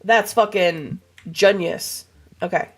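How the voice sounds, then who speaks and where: A young woman streaming a game, chatting casually to her viewers with a voice still hoarse and froggy from a cold, rambling and swearing freely. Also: mid-range voice, slow pace. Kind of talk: casual livestream chat while gaming.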